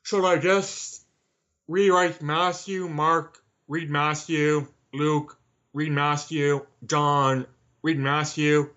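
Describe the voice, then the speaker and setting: Guy author being interviewed, slow dry wry delivery, slightly high voice, bit dull lo-fi audio. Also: level tone, a bit bright. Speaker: author, podcast guest. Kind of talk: interview answers.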